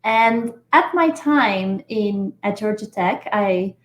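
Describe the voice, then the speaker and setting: A woman from Belgium, a professor, giving measured, explanatory answers in a podcast interview. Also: mid-range voice, animated. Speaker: guest, engineering professor. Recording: echoey room.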